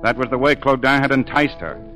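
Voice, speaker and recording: A man narrates a radio drama in scripted 1940s style. His voice is bright and forceful at a medium pitch, with music playing underneath. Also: a little fast.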